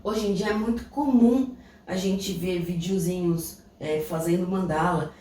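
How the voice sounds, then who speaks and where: A woman's voice: on the low side, measured pace, dark tone. A Brazilian woman co-hosting a podcast, telling a personal story in a reflective, casual way. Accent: Brazilian Portuguese. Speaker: podcast co-host. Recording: echoey room, distant mic, faint noise.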